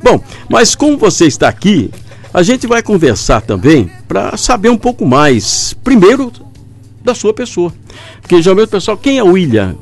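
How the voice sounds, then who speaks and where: A man's voice: high-pitched, sing-song, normal speed. A Brazilian man hosting a casual radio interview in a chatty, informal way. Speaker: radio host. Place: radio studio.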